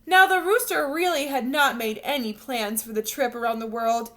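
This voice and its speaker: Female teacher for children's read-aloud: high, bright voice, expressive storytelling with guiding questions. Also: normal speed. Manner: expressive, instructional, addressing young children. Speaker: teacher reading a picture book to children.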